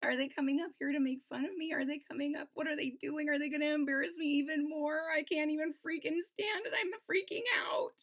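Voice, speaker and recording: A woman's voice: steady tone, quick pace, hardly any pauses. Woman doing a jittery little bean boy. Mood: panicked. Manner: fretful, quavering, rising to shrill cries. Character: nervous little male bean, timid, anxious.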